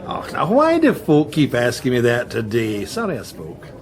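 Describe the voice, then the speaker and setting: An actor playing a nosy gossip who teases and insinuates with feigned innocence. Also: male voice, medium pitch, wildly swooping pitch, normal speed. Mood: slyly needling. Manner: sly, teasing, feigned innocence. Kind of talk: British political drama acting.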